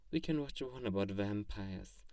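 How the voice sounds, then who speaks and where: Man playing a low-voiced, kindly theatrical vampire. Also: expressive, normal speed, no echo, distant mic. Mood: playful, warm. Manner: soft-spoken, earnest, grandiose, gently teasing. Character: kindly, theatrical, self-styled great male vampire befriending a lonely human. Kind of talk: intimate ASMR audio roleplay.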